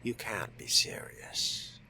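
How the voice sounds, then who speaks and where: A man voicing a haughty fledgling vampire in a condescending, theatrical game roleplay monologue, speaking deliberately and often dropping to a whisper. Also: sharp tone, slight background noise, rough recording. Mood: wry, hushed. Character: haughty fledgling male vampire exploring a haunted hotel. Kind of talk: in-character roleplay monologue over a video game. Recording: music under the voice.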